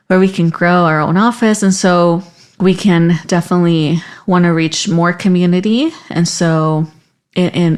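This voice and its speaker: A woman from Mexico, a native Spanish speaker, talking as a guest in an interview with a halting manner and frequent restarts. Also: lowish voice. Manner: warm, halting, many restarts. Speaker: call center lead, guest. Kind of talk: interview conversation.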